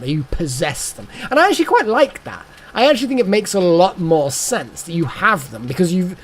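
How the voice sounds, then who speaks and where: A man's voice: on the high side, slight background noise. A man in his thirties chatting casually on a live game stream, rambling and repeating words as he muses aloud.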